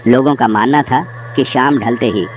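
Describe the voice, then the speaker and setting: A man's voice: mid-range voice, measured pace. Male horror story narrator over background noise.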